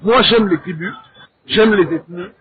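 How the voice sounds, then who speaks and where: A man with a Congolese accent debating in a measured, didactic way, in short deliberate phrases and with rhetorical questions. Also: sits high. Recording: thin, phone-like narrow sound.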